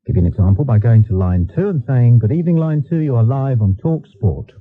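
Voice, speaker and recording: A man's voice: medium pitch, lively intonation, fairly quick, phone-quality sound. A man hosting a live radio phone-in in a dry, wry, instructive way. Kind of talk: live talk radio chat. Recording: thin, band-limited radio recording.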